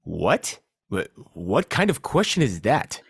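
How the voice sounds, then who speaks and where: A man's voice: lowish voice, huge pitch swings, slow pace, gravelly, slightly rough, no room sound, clean studio sound, exaggerated voice. A man playing a dim, easily offended, hapless rescuer in a comic audio play, hesitant and exasperated. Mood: indignant.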